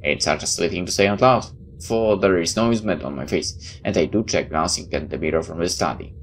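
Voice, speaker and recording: Low-voiced woman narrating a game playthrough. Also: quick pace. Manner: incredulous asides. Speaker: gamer doing a playthrough. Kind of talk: reading game text aloud with casual commentary. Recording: faint background noise.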